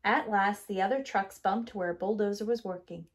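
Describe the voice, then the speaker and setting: A woman's voice: medium pitch, moderate pace, a little hiss or hum. A middle-aged woman, a teacher, reading a children's picture book expressively indoors. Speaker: teacher, storyteller. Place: small room at home, indoors.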